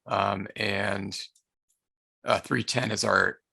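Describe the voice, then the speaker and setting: A man with a hoarse, tired voice presenting a live online market-analysis session, speaking haltingly with many filler sounds and restarts. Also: on the low side, steady tone, sharp tone, no room sound, studio quality. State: hoarse, losing his voice.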